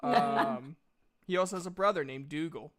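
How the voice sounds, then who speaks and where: A man working as game master, narrating casually and haltingly with repeated words. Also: a little high, fairly bright tone.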